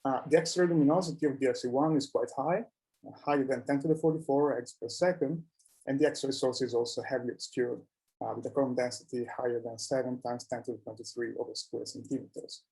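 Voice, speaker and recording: Mellow-voiced man giving a scientific talk. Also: medium pitch, fairly even intonation, a little fast, poor audio. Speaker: researcher presenting at a conference.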